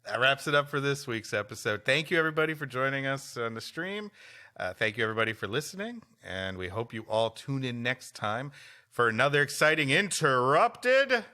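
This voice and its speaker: A man's voice: mid-range voice, very animated, a little fast, sharp tone. A man co-hosting a comedic livestream podcast, chatting casually with playful mock enthusiasm. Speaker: podcast co-host.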